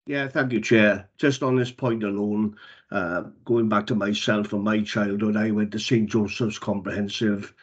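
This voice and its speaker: A man's voice: fairly low pitch, fairly even intonation, normal speed, breathy edge. A man, a councillor speaking plainly with many fillers at a council meeting. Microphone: video call. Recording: dull lo-fi video call audio.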